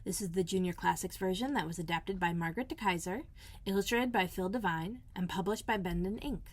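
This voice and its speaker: A woman narrating a children's storybook as a read-aloud host, in a measured, expressive storytelling manner. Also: on the low side. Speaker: elementary school librarian, host.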